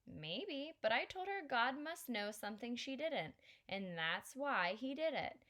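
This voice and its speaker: A woman's voice: a little high, sing-song, unhurried, fairly bright tone, pitched above the performer's own voice. A woman reading aloud and voicing a blunt, wry Filipino grandmother in a slightly raised, matter-of-fact voice. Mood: storytelling. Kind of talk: audiobook character reading.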